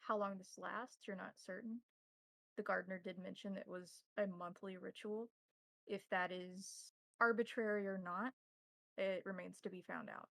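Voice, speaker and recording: Woman acting a courteous vampire ritual keeper, formal measured phrasing, creaky voice, dry recording, tabletop game. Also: medium pitch, steady tone, fairly bright tone, no echo. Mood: solemn, instructive.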